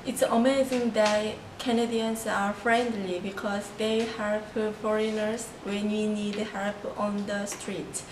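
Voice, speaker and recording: Slow Korean woman in an echoey room. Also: mid-range voice, flat delivery. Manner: slow, careful prepared statements. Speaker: international student. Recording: echoey room from far off, noisy.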